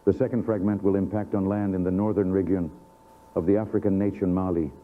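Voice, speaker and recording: A solemn US president played by a man, low-voiced and statesmanlike, delivers a formal national address in an analog horror drama. The sound is thin and narrow, like an old broadcast over a phone line. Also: level tone, a little fast, natural voice. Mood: solemn, measured. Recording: tinny narrow-band broadcast audio.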